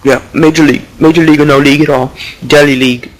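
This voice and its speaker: A man playing a dim young baseball prospect, slangy, rambling, cocky, fairly high voice. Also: steady tone, fast talker, natural voice, rough recording. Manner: rambling, stalling with fillers, slangy. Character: dim-witted young male baseball prospect, deli-obsessed, Bronx swagger. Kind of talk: improvised mock interview.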